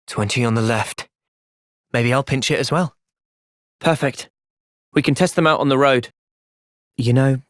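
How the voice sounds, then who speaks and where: A man voicing an earnest, thoughtful young fighter in a video game, measured and sincere but capable of impassioned intensity. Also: mid-range voice, no room sound, clean studio sound, lightly acted. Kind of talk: video game cutscene voice acting.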